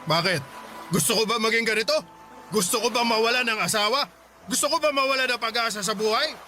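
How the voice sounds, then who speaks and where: A bitter, defensive widowed father grumbles in a high, bright voice. He sounds sulky and put-upon. Soft music sits under him. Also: fast, exaggerated voice. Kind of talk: radio drama acting.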